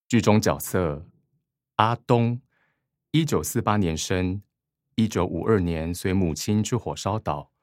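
A man's voice: low-pitched, dry recording, clean studio sound. A man reading scripted narration and stage directions for a radio drama.

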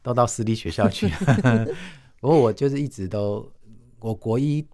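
A man's voice: mid-range voice, moderate pace, darkish tone. A man in his forties with a Taiwanese Mandarin accent, casually explaining and recalling things as an interview guest, in a modest, colloquial way. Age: 40s. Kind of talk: casual conversational recollection.

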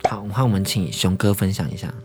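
A man's voice: on the low side, quick pace, soft background music. A man with a Taiwanese Mandarin accent hosting a conversational interview on a radio show.

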